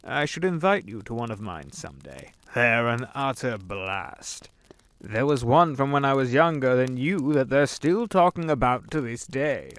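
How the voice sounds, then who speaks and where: A man's voice: medium pitch, a bit bright. A man playing an urbane, sly old devil in an audio drama, wordy and courteous, savoring his phrases. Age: older.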